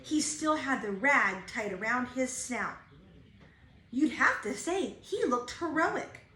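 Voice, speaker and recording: A woman's voice: high voice, animated, takes it slowly, bright, forceful tone. A woman reading a children's storybook aloud like a teacher, expressively stressing key words. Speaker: teacher reading a picture book. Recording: roomy, echoing home-style recording.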